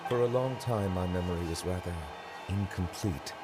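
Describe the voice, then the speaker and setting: Actor as grave immortal dragon judge: very low, formal, measured, music behind. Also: male voice, close to an everyday voice. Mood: reflective. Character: ancient dragon in human form, solemn male chief justice. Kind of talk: video game dialogue. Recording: game dialogue with music behind.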